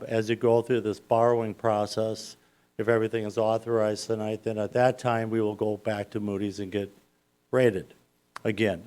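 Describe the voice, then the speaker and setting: Man, loud voice, lowish pitch, finance advisor, explains step by step, council chamber. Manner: walks through points step by step.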